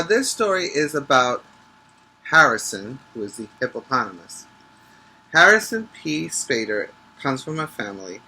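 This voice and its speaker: A man who teaches preschool, reading a picture book aloud emphatically, stretching key words and pausing to explain things to the children. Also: medium pitch, sharp tone, a little hiss or hum, rough recording. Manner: instructive, checking in with listeners.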